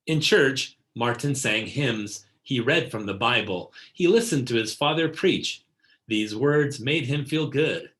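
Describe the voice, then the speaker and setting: A man narrating a children's picture book in a deliberate storytelling delivery, stressing key words. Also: medium pitch, fairly bright tone. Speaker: read-aloud narrator. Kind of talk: scripted picture-book read-aloud for children.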